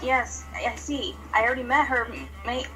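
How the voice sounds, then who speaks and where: A woman's voice: a little high, fairly even intonation, normal speed, fairly bright tone, soft background music, natural voice. A woman voicing a cautious young male lion who is new at the zoo, mild and unsure.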